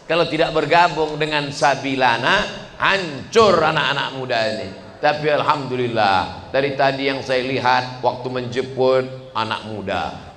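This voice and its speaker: A man in his forties giving a lively sermon to a crowd, asking rhetorical questions and swinging between loud and hushed delivery. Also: medium pitch, slight background noise. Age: 40s. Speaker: preacher. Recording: echoey hall with faint ambience.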